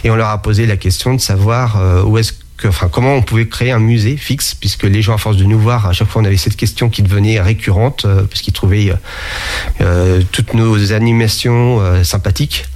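Hesitant low-voiced man interviewed. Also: fairly even intonation, a little fast, a little mellow. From France. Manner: hesitant, many fillers and restarts. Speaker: interviewee, sommelier and museum founder. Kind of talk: casual interview talk.

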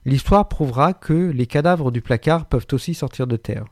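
A man's voice: medium pitch, normal speed, a little mellow, no room sound, clean studio sound. A man presenting a radio book column in a wry, articulate way, with frequent hesitations. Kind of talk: radio literary chronicle, partly read.

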